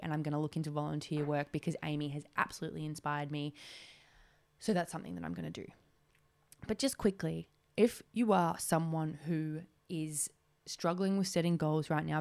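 A woman's voice: sits low, gravelly, a little mellow. A woman in her twenties hosting a solo podcast, talking in a chatty, rambling way with lots of "like". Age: 20s. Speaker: podcast host.

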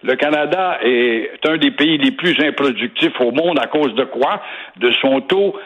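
A man with a Québécois accent delivers pointed radio commentary, his voice a little husky. He talks in a sarcastic, mocking way and hammers points home through repetition. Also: mid-range voice, measured pace, a bit bright, lo-fi sound. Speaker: regular commentator.

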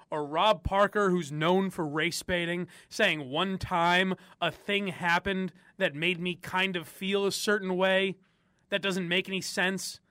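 A man's voice: sits high, sharp tone, no echo, clean studio sound. A young man from the area, hosting opinionated talk radio and ranting with frequent laughs. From Boston area. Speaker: sports radio host.

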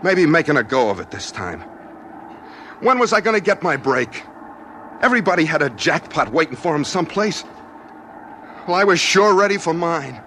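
Desperate, nervy drifter, animated 1940s radio noir. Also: male voice, on the high side, normal speed, long pauses. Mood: bitter, restless. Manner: hesitant, evasive, stammering when questioned. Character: desperate, nervous drifter posing as a truck driver. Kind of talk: 1940s radio drama acting, first-person noir narration. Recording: noisy 1940s radio broadcast recording.

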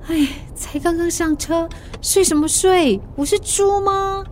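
A woman's voice: extremely high pitch, a little slow, a little mellow, slight background noise. A woman acting in a radio drama as a flustered, defensive young woman with a secret crush. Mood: awkward.

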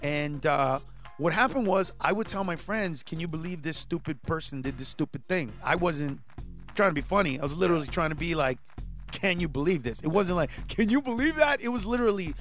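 Male stand-up comic in his 40s, born in Honduras, interview guest; fairly high voice, lively, shouts for punchlines. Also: fairly quick, faint music behind. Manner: animated, rising to shouts when acting out bits. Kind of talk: casual interview chat.

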